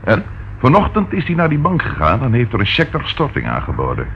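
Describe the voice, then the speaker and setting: An actor in a radio play as an eager police detective, informal, chatty and dryly humorous. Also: male voice, mid-range voice, fast talker. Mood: breezy, reporting. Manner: lively, informal, relishes reporting findings. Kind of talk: Dutch radio play acting. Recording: dull lo-fi old radio recording, faint noise.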